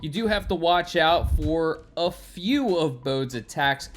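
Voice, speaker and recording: Higher-voiced man narrating a game guide. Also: lively intonation, takes it slowly, slight background noise. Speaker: gaming video host. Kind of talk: scripted gameplay tutorial narration.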